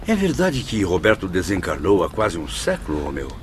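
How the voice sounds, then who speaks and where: An actor playing a pensive, sympathetic gentleman spirit in a radio drama, speaking formally, with wondering hesitations. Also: low voice, huge pitch swings, moderate pace, slight background noise, exaggerated voice. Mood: curious.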